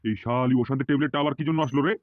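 A man's voice: medium pitch, rapid-fire, phone-quality sound, a little hiss or hum, lightly acted. A man playing a boastful, sleazy, short-tempered politician in a Bengali comedy cartoon, swaggering and crude as he blusters. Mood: irritated.

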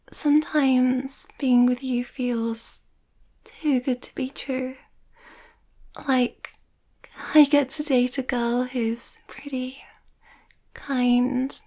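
Soft, high-voiced shy werewolf girlfriend, acted by a woman. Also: heavily acted. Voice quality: soft, breathy, often whispery. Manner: bashful, hesitant, giggly. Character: shy, sweet, overthinking young werewolf girlfriend. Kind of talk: intimate ASMR girlfriend roleplay.

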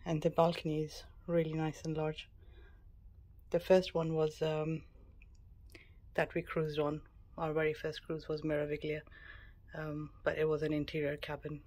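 A woman's voice: sits low, completely flat delivery, moderate pace, darkish tone. A woman travel vlogger giving a casual, matter-of-fact tour narration of a small cabin. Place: mostly a small cruise ship cabin. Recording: clear background ambient noise.

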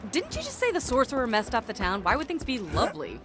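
Sensible, questioning, animated adventurer. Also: female voice, fairly high pitch, fast talker. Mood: puzzled, reasoning. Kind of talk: video game voice acting. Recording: music behind the voice.

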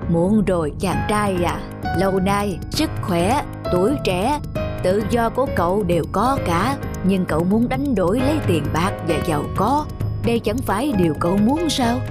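An old immortal sage in an animated fairy tale. He is calm and indulgent yet admonishing, with slow, measured phrases and music underneath. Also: high-pitched.

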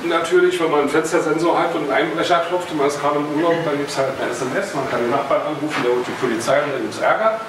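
A man's voice: a little high, fast, few pauses. Berlin-accented man in a very echoey room. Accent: Berlin German. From Berlin, Germany. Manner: loose and chatty, with filler words and small jokes; standing and moving about as he talks. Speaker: presenter at a hobby talk. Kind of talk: casual technical presentation. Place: meeting room with projector screen, indoors. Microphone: far camera across the room. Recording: very echoey room, far from the mic, noisy.

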